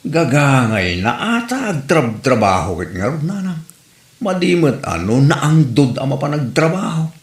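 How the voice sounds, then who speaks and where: A man's voice: medium pitch, big pitch swings, unhurried. A man with an Ilocano accent playing a devoted, needy husband in an audio comedy drama. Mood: defensive. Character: devoted, needy husband coaxing his working wife. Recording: roomy echo, faint background noise.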